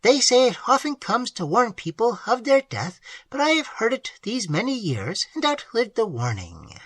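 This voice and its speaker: A man's voice: very high-pitched, wildly swooping pitch, normal speed, a bit bright, no echo, pitched above the performer's own voice, full character voice. A reader voicing an elderly, widowed, superstitious village man who speaks earnestly in old-fashioned phrasing. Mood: earnest, uneasy. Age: older. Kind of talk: audiobook character reading.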